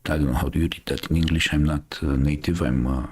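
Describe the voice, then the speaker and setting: A man's voice: very deep voice, fairly even intonation, fast, darkish tone, husky. A man from Romania with a Romanian accent, hosting a live show and chatting casually through the news, thinking aloud with many fillers and restarts. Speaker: host.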